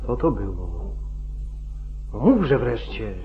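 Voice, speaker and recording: A man's voice: mid-range voice, sing-song, slow, soft background music. A man playing a wary, weary older friend in an old radio drama, giving blunt warnings with stern urgency. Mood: impatient. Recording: dull, band-limited 1940s radio recording.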